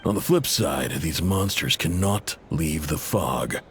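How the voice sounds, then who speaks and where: A man's voice: a bass voice, animated, slow, faint music behind, exaggerated voice. A man playing a proud, indebted intel broker in a video game, blunt, casual and guarded, with an exasperated edge. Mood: guarded, informative.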